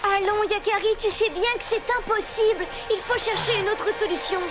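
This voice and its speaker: A cartoon animal sidekick with a piercingly high voice. The companion sounds sensible. Loud music plays behind. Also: female voice, steady tone, normal speed. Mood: urgent, reasoning. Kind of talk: animated series acting.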